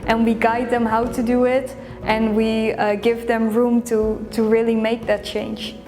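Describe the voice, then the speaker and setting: A woman's voice: a little high, little pitch movement, a little slow, a little mellow, in a large hall. A woman from the Netherlands speaking directly and enthusiastically in a documentary interview. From Rotterdam, Netherlands.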